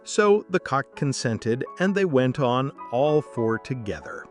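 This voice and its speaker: A man's voice: mid-range voice, animated, slow, soft background music. A man reading scripted bedtime fairy-tale narration as a storyteller.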